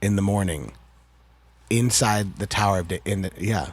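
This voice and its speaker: A man's voice: lowish voice, normal speed. A man co-hosting a casual interview show, who talks eagerly and jumps in with questions. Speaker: co-host.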